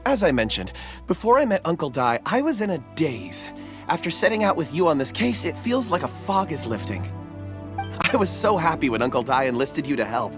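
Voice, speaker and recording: A man playing a gentle, earnest young man in a video game, polite and soft-spoken. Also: on the high side, big pitch swings, a little fast. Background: game music.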